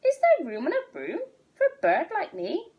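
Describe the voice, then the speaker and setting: A woman's voice: very high-pitched, wildly swooping pitch, moderate pace, lo-fi sound, voice pushed up, heavily acted. A woman voicing a polite, eager female green bird in a children's storybook, with a squawky delivery.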